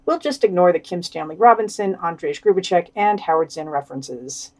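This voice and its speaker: A woman's voice: on the low side, lively intonation, a little fast, darkish tone. A woman reading written summaries and quotes aloud on a podcast, adding wry commentary. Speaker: podcast news reader.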